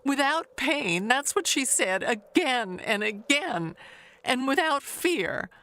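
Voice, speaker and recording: Slow-talking, evasive older woman played by an actress. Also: high-pitched, big pitch swings, sharp tone, full character voice. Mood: guarded, uneasy. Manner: halting, rambling, confiding. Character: chatty, evasive older woman neighbor harboring a secret. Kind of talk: audio mystery monologue.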